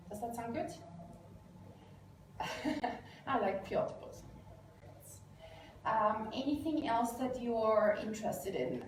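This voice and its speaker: Woman career coach from Austria, middle-aged, lecturing casually in a big echoey room. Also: fairly high pitch. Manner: conversational, frequent fillers, rephrases herself. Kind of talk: live lecture with audience questions. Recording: echoey room, distant mic, background hiss.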